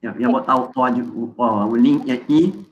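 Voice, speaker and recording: A man's voice: mid-range voice, moderate pace, muffled recording, a little hiss or hum. A man helping run an online workshop over a video call, thinking aloud casually.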